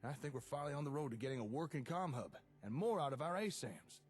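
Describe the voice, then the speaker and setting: An easygoing tinkerer from a post-apocalyptic game, played by a man, with a highish, lively voice. He is folksy and chatty, explaining technical things with keen enthusiasm. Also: quick pace, lightly acted. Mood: enthusiastic. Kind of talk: conversational video game dialogue.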